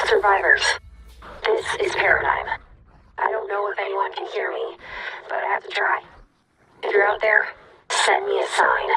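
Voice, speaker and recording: An actress playing an earnest, grave resistance operative sending an urgent radio transmission in a video game. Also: female voice, mid-range voice, wildly swooping pitch, normal speed, pauses often. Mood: desperate. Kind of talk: scripted video game voice acting. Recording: noisy, distant radio transmission.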